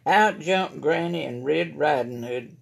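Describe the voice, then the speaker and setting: A woman's voice: low-pitched, unhurried, gravelly, breathy edge. A woman with a Southern American accent reading a children's story aloud as narration. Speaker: library story reader. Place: library room, indoors at a table.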